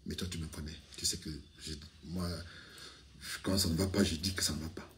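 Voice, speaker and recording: A man's voice: a bass voice, level tone, fairly quick, a bit bright, a little hiss or hum. A man from Cameroon giving his political opinion straight to the camera, outspoken, with many restarts and fillers. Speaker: video commentator. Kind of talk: casual political commentary to camera. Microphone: camera, speaking to it directly.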